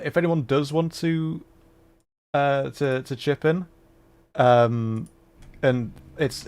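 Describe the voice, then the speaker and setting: A man talking casually and self-deprecatingly as he hosts a gaming livestream. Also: medium pitch. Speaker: livestreamer, VTuber. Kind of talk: casual livestream chat while playing a game.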